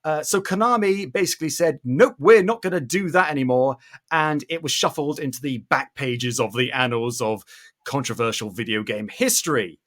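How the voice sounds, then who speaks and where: A British man in his thirties hosts a podcast in a mid-range voice. He gives animated, opinionated commentary and leans on key words with theatrical emphasis. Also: medium pitch, normal speed. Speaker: podcast host.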